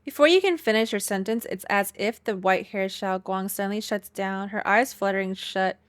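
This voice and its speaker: A woman's voice: mid-range voice, a little fast, no room sound. A woman game streamer reacting casually and reading game text aloud in a playful, informal way. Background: faint game music.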